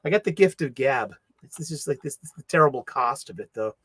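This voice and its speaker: A man's voice: mid-range voice, expressive, fast talker, pushed and bright, husky, dry recording. A middle-aged man with a Boston accent hosting a casual livestream, rambling and mumbling with many restarts and fillers. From Boston, USA. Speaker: livestream host. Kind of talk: casual chat.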